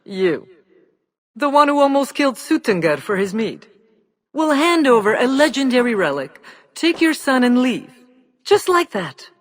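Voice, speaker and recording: An actor voicing a proud, defiant giant lord in a video game, with a theatrical, measured and scornful delivery. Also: male voice, extremely high pitch, sing-song, full character voice. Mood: mocking. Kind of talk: dramatic video game voice acting. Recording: clear background noise.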